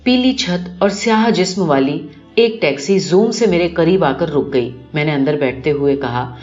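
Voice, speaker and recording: A woman reading a short story aloud in an expressive, scripted narration style. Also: low voice. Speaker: audiobook narrator. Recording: muffled, roomy recording with music behind. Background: music.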